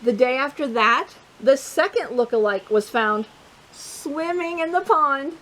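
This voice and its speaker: A woman with a high voice reads a children's picture book as a storytime host. She is expressive in her delivery and often steps out of the text to chat or put questions to her young listeners. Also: low-quality recording. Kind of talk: read-aloud children's storytelling with chatty asides.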